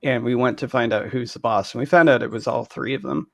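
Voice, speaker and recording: A man chatting casually as an interview guest, phrasing his thoughts in a halting, deliberate way. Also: medium pitch, gravelly, no echo. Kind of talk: casual anecdotal chat.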